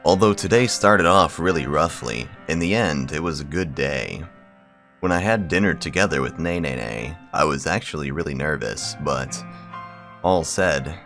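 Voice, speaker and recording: Very deep-voiced, animated male gaming host. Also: moderate pace, faint music behind. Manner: slangy, profane, bro-ish. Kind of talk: visual novel read-aloud with casual commentary.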